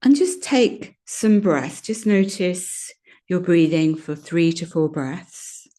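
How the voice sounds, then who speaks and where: A woman leading a guided meditation in a soothing, gentle manner, giving unhurried instructions with pauses. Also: fairly low pitch, lively intonation. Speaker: yoga nidra meditation guide.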